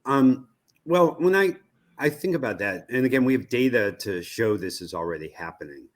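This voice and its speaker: An American man speaking as an interview guest, giving conversational expert commentary in a measured way, often halting to search for the right word. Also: medium pitch, animated. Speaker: interview guest, radio research executive.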